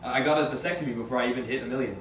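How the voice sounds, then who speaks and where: Man playing a brisk, rattled rich businessman, echoey. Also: mid-range voice, fairly bright tone, natural voice. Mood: reflective. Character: wry, rattled rich young businessman suspected in wife's disappearance. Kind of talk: amateur script table read. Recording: echoey room, distant mic, faint noise.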